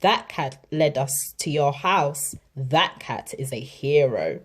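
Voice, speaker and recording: A woman voicing an earnest, booming fireman in a children's storybook. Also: lively intonation, slow pace, husky, full character voice. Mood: earnest, firm. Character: grateful, heroic-minded fireman, booming and earnest.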